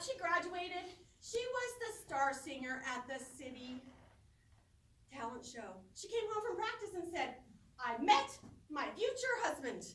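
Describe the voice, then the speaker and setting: A middle-aged woman with a very high, bright voice tells a funny story on stage. She speaks slowly and theatrically, stretching words and pausing for effect. The recording is distant and roomy. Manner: theatrical, expressive, dramatic pauses and stretched words. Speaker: speech contest competitor. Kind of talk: humorous storytelling speech to a live audience. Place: roomy indoor space before an audience. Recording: echoey room, distant microphone, faint noise.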